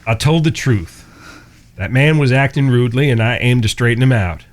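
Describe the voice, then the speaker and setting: An actor playing a chivalrous, steely blind cowboy with an in an audio drama. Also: male voice, medium pitch, animated, close to an everyday voice. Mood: probing. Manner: courteous, measured, earnest. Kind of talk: Western audio drama acting.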